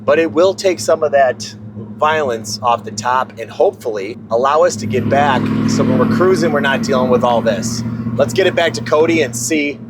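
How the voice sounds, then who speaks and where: A man's voice: mid-range voice, normal speed. A man giving car vlog commentary from inside a moving truck, as an exhaust shop presenter. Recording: loud vehicle and engine noise. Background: engine, exhaust and road noise.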